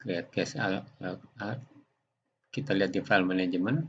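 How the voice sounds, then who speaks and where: A man gives an unscripted software demonstration, explaining step by step in a halting way. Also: deep voice, dull audio, a little hiss or hum. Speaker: software tutorial presenter. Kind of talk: unscripted screen demonstration narration.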